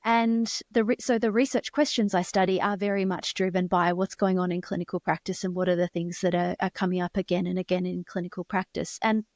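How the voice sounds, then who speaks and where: Australian woman, forties, measured interview guest; dry close sound. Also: lowish voice, little pitch movement, darkish tone, no room sound. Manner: articulate, measured, with occasional restarts. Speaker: guest researcher. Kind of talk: conversational interview.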